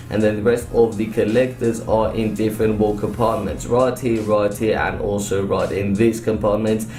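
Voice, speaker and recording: A young man chats through his gameplay in a loose, upbeat way, leaning on fillers as he goes. His voice is mellow and a little hoarse. He sits back from the mic in an echoey room, with faint music under him. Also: fairly low pitch, far from the mic. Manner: chatty, upbeat, many fillers. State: slightly hoarse. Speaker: gaming YouTuber. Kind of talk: casual gameplay commentary. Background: faint background music.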